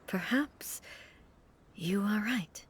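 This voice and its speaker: An actress playing a solemn, reserved female ruler in a game, speaking in a measured way. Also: medium pitch, a little hiss or hum. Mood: gentle, reassuring. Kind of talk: restrained game dialogue.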